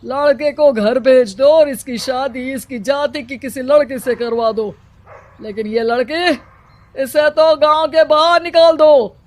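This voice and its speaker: Domineering cartoon village headman, played by a man; extremely high voice, commanding, mocking, self-important. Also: fairly even intonation, measured pace, a little hiss or hum. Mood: stern, commanding. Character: stern, domineering village headman who oppresses women. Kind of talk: Hindi cartoon character acting.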